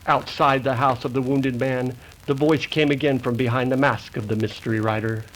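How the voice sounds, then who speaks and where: A man's voice: medium pitch, fairly even intonation, moderate pace, slight background noise, poor audio. A man narrating a 1930s-style radio drama in a dramatic, formal announcer's manner. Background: music.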